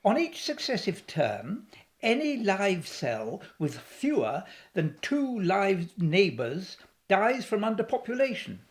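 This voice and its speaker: Casting: philosophical woman doctor of science, resolute, lecturing, lively intonation, for audio drama. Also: medium pitch. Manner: measured, lecturing. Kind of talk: radio play acting.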